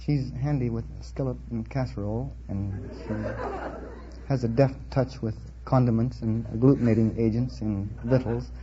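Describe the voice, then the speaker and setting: A man's voice: mid-range voice, steady tone, normal speed. A man answering as a quiz show contestant in a formal, wordy, precise manner. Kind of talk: interview answers on a radio quiz. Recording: muffled, noisy vintage radio recording.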